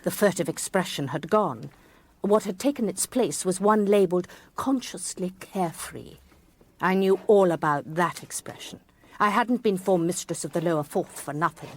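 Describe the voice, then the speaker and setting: An actress playing a sharp-eyed, wry former schoolmistress, telling a mystery in a precise, measured way for radio drama. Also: female voice, on the low side, breathy edge. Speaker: narrator and lead character. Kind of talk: first-person dramatised narration, radio drama.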